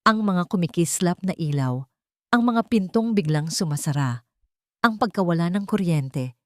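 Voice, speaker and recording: A woman with a Filipino accent reads a scripted dramatic story in a measured, deliberate way, pausing for effect. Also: lowish voice, animated, no room sound, clean studio sound. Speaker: story narrator.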